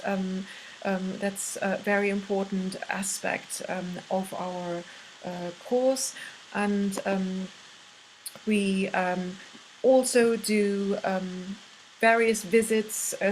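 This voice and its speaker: A woman from Germany with a German accent speaks as a university lecturer, giving an informal course presentation in a halting way with frequent pauses. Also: medium pitch, a little hiss or hum, poor audio. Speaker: university art history lecturer.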